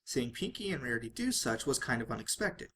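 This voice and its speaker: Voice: mid-range voice, big pitch swings, a little fast, pushed and bright. An amateur reader narrating fan fiction expressively, sometimes stumbling and correcting the wording along the way. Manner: stumbles and self-corrects while reading.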